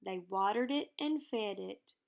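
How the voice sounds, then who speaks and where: A woman's voice: mid-range voice, a little slow, gravelly. A woman narrating a children's picture book as a read-aloud. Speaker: storybook reader.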